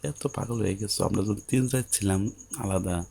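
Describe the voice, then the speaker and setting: A man's voice: on the low side, normal speed, creaky, dark tone, breathy edge. A man playing a patient, coaxing young newlywed husband in an audio story, with a Bangladeshi accent and a soothing, colloquial way of explaining. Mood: patiently explaining.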